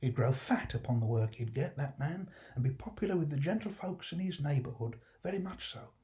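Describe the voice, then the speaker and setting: A man's voice: a little high, expressive, fairly quick, mellow, lightly acted. A man voicing a humble, kindly old working-class father, fond and easily enraptured, musing in homely dialect in a storybook reading. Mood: fond, wry.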